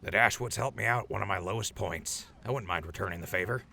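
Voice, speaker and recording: An actor plays a wary male veteran of the wasteland. His voice is deep and gravelly with a hard, forceful edge, dry and laconic in audio drama style. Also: fast talker, full character voice. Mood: reflective, willing.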